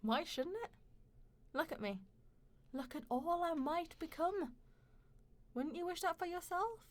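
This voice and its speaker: A woman playing a proud, sharp-tongued young mistress in a radio play, ambitious and defiant, with a cutting, impassioned delivery. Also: very high-pitched, measured pace. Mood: proud, scornful.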